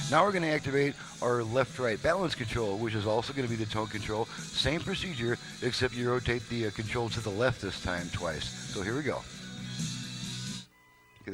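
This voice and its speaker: A man's voice: lowish voice, poor audio. A man giving a casual, folksy, step-by-step demonstration as a radio repair technician, explaining things off the cuff. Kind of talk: casual unscripted product demonstration. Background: radio audio playing behind the voice.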